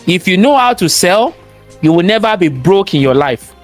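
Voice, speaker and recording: A man with a strong Nigerian accent and a high voice speaks as an interview guest. He talks in a motivational, sermon-like way, repeating key phrases for emphasis and throwing out rhetorical questions. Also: very animated, moderate pace, soft background music. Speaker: guest, cybersecurity expert and entrepreneur. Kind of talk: interview conversation, motivational talk.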